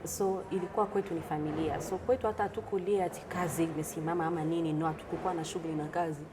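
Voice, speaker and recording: A middle-aged woman from the Democratic Republic of the Congo speaks as an interview guest. Her voice sits on the low side, and she moves between languages in a conversational way. Clear background noise runs behind her. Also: fairly even intonation, rapid-fire. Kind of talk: conversational interview, code-switching. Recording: clearly noisy background ambience.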